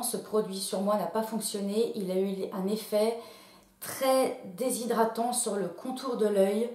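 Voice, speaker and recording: A woman's voice: medium pitch, darkish tone, echoey room. Chatty woman reviewing beauty products. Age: late 30s. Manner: chatty, many fillers and restarts. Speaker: beauty vlogger.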